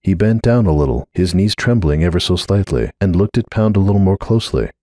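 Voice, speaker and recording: A man's voice: low-pitched, lively intonation, fairly quick, warm tone, no echo, studio quality. A man reading a scripted story aloud as a dramatic narrator.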